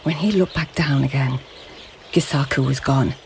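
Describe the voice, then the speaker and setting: A woman's voice: a bass voice, normal speed, creaky, a little hiss or hum. A woman playing a serious, firm noblewoman investigator of the Japanese imperial court in a radio drama. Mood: intent, recounting. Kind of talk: radio drama acting and narration.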